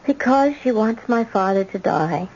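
An actress playing a shy but determined eighteen-year-old granddaughter in an old-time radio drama. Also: female voice, medium pitch, animated, moderate pace, darkish tone, a little hiss or hum, natural voice. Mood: resigned, resolute. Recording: muffled old radio recording.

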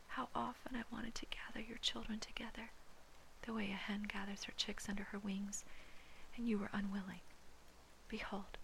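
Woman from Hawaii, whispered scripture reading and commentary, slow and hushed, gritty fry, crisp bright tone. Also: medium pitch, slight background noise, rough recording.

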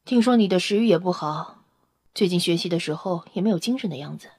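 A woman's voice: fairly low pitch, fast, darkish tone, breathy edge, dry recording. A woman playing a nosy, coolly curious vampire scientist in an audio drama, blunt and inquisitive. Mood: clinical concern. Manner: inquisitive, blunt, matter-of-fact.

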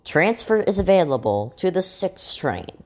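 A woman reading scripted subway announcements in a formal, measured way, setting listed items apart with pauses. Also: lowish voice, very animated, darkish tone.

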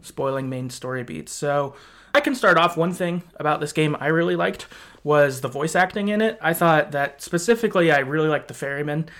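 A man's voice: fairly high pitch, expressive, fairly quick, fairly bright tone. A man hosting a podcast in a casual, agreeable and affirming way. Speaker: podcast host.

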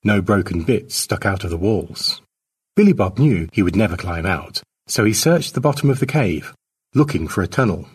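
A man narrates a scripted story in a lowish voice that is very husky and rough. His pitch moves widely from phrase to phrase, in the manner of an author reading fiction aloud. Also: animated, normal speed.

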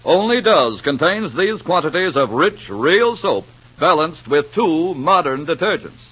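On a muffled vintage radio recording, a male announcer makes an emphatic sales pitch. His fairly high voice is husky and moves up and down with lively stress. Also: unhurried. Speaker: commercial announcer. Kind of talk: 1950s radio advertising pitch.

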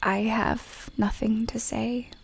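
A woman's voice: fairly high pitch, level tone, slow, vocal fry, breathy edge, full character voice. A woman playing a devoted, sad but firm young woman in a period audio play, with a subdued, earnest delivery. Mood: tender, weary.

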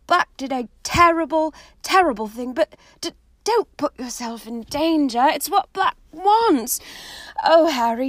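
Woman reader as anxious bossy schoolgirl; lively, high, insistent; storybook. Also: dry recording. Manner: pleading, insistent.

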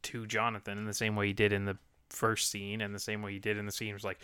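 A young man co-hosting a casual movie recap podcast, wry and quick with asides, sometimes mimicking characters in mocking voices. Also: lowish voice, monotone, sharp tone, smooth, no room sound, clean studio sound. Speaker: podcast co-host.

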